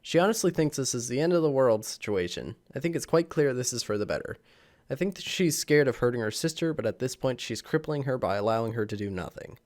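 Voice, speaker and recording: A man's voice: medium pitch, lively intonation, fast talker, darkish tone. A young man, a little sick, casually reading online posts to the camera with lots of fillers and chuckles. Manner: rambling, chuckling, many fillers. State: slightly sick. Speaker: channel host reading posts. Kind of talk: casual vlog reading aloud. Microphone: camera mic.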